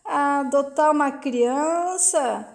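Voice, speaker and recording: A woman reading as a relaxed rancher. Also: high-pitched, very slow, gravelly, full character voice. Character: calm wealthy rancher, middle-aged man, easygoing.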